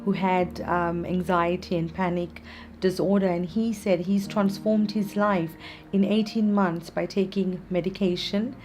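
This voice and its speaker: A woman born in South Africa, a psychiatrist answering interview questions in earnest, measured explanations. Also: on the low side, level tone, darkish tone, faint music behind. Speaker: psychiatrist guest.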